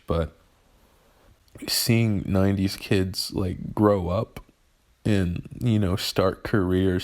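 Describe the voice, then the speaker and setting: A young man in his early twenties with a lowish voice talks slowly to camera. He vents in a halting, emotional way and keeps starting his sentences over. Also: darkish tone. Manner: halting and emotional, with frequent restarts. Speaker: vlogger. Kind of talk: personal venting monologue.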